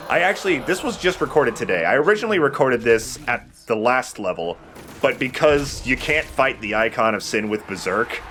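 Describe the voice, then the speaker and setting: A man's voice: fairly low pitch, noisy background. A man giving casual, rambling and opinionated video game commentary as the host of a let's play.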